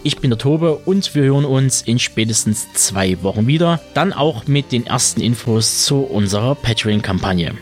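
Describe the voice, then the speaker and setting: A man's voice: medium pitch, lively intonation, moderate pace, hardly any pauses. A man presenting as a podcast host in a scripted narration style. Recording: music under the voice. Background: music.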